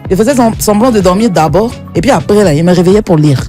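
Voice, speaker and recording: Lively storytelling woman on radio. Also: mid-range voice, fast, soft background music. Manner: lively, laughs often, tells stories. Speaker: radio show guest. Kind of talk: casual radio chat.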